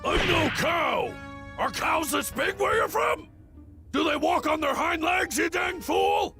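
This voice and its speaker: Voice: extremely high pitch, animated, measured pace, sharp tone, raised voice, soft background music, exaggerated voice. An actor voicing a huge, hot-tempered minotaur monster in a video game, blustering in folksy rural phrases. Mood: indignant.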